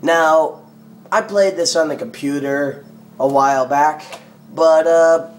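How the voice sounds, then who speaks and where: A man with a hoarse, lost voice and a cough, giving rambling casual commentary on a video game. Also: on the high side, steady tone, slow. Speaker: gaming video host. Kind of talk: let's play commentary.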